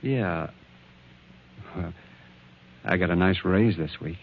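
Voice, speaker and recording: An actor playing an eager, affectionate bachelor in a 1940s radio drama. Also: male voice, deep voice, slow pace, creaky, a little hiss or hum, exaggerated voice. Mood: eager, hesitant. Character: eager, affectionate bachelor artist courting a woman. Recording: tinny old 1940s radio recording.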